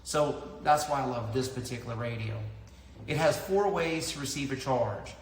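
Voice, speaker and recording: A man's voice: mid-range voice, moderate pace. A man in his thirties explaining a product methodically to camera, as a reviewer does. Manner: methodical, explaining points in order. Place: large indoor lounge with tiled floor. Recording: echoey hard-walled room, clear background noise.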